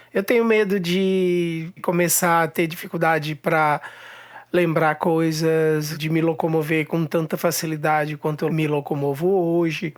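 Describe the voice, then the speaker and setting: A man in his sixties, an actor, sharing personal thoughts on aging in a recorded testimony. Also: on the high side. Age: 60s. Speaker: guest.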